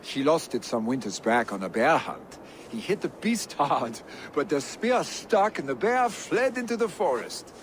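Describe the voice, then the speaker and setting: An animated, proud young Viking noble. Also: male voice, a little high, a little slow, slight background noise. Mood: confident. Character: proud, warm Viking jarl's son, confident adventurer. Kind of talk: video game cutscene acting.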